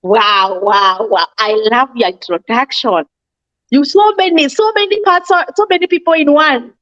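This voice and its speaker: A woman's voice: high voice, moderate pace, a bit bright. A woman hosting an interview, asking questions and affirming her guest enthusiastically. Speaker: host. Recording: dull lo-fi sound.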